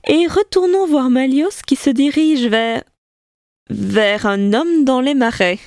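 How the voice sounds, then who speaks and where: A woman's voice: high-pitched, takes it slowly, a little mellow, no echo, studio quality. A woman playing a wry, teasing storyteller, narrating a scripted audio comedy adventure theatrically.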